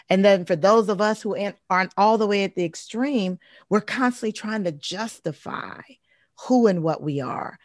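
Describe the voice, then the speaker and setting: A woman in her forties with a heavy, deep voice, telling stories in an emphatic, animated way as a guest in a casual interview. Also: no room sound, clean studio sound. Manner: emphatic, animated storytelling with repeated phrases. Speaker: guest speaker and life coach.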